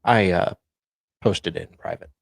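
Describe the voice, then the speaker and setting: Co-host, unhurried pace, wry deliberate delivery, reading aloud and chatting casually, dry recording. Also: deep voice, gravelly, dry recording. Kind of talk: dramatic reading of legal filings with casual chat.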